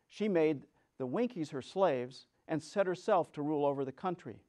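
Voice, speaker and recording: A man reading a children's story aloud as a storyteller, in a theatrical, deliberate way. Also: on the high side, a little mellow.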